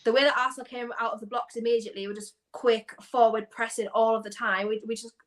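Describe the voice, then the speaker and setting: A young woman from North East England chatting casually about football as a guest, animated and quick to laugh. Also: fairly high pitch. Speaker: guest fan.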